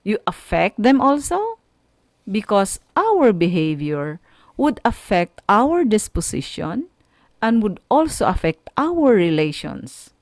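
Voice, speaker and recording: A woman teacher with a Filipino accent delivers a radio lesson at an unhurried pace. She explains in short, halting phrases with firm emphasis, her pitch moving expressively. The sound is dry and close. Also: sing-song, darkish tone, no echo.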